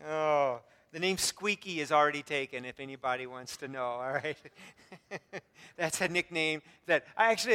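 A man preaching from the pulpit, his voice a touch high and squeaky, bright and clear. He talks earnestly with bits of self-deprecating humor, stumbling over fillers and restarts. The sound carries a long reverb from the hall. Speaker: pastor. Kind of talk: sermon.

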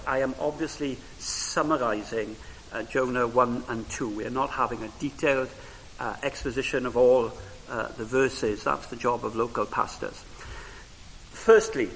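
A man whose first language is Welsh, preaching a sermon in a church in a measured way, with frequent pauses and rising emphasis on key phrases. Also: fairly high pitch, a bit bright. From Wales. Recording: reverberant hall with faint hiss.

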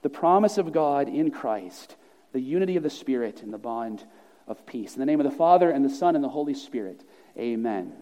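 A man's voice: medium pitch, animated, fairly quick, mellow. A man preaching an earnest, emphatic sermon with repeated phrases, then leading a closing prayer in a church sanctuary. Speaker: pastor. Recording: long reverb of a large hall.

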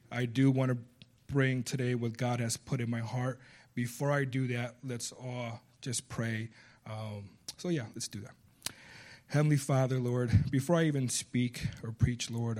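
A man's voice: mid-range voice, darkish tone. A man from Puerto Rico with a slight Puerto Rican Spanish accent, preaching a heartfelt sermon as a guest preacher. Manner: earnest, heartfelt, builds up emphatically.

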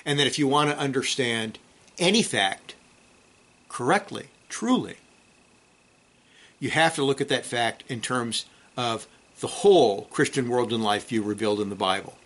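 Bible teacher, male, bright and forceful tone, reads with emphasis, loose asides with restarts. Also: mid-range voice, animated, moderate pace, pauses often. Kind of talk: reading a theology book aloud, then commenting and praying.

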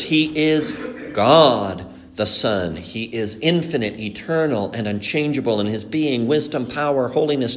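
A man from Colombia raised in Mexico preaching an expository sermon, explaining with earnest emphasis and slowed, stressed phrases. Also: mid-range voice. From born in Colombia, raised in Mexico.

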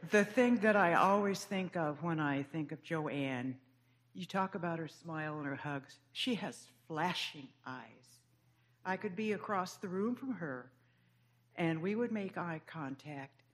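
A woman giving a tender, unhurried memorial tribute at a church service. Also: sits low. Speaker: church friend speaking at a memorial.

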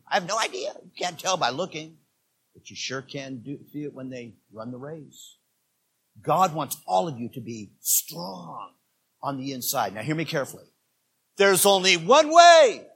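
A man in his sixties preaching a sermon as pastor, swinging between quiet asides and loud, emphatic preaching. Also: high voice, breathy edge. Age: 60s.

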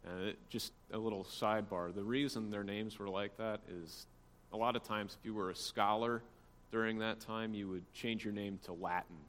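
A man's voice: fairly low pitch, fairly even intonation, moderate pace, a bit bright. A man giving an informal church history lecture, explaining things conversationally with casual asides. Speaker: church teacher. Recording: long hall reverb, faint background noise.

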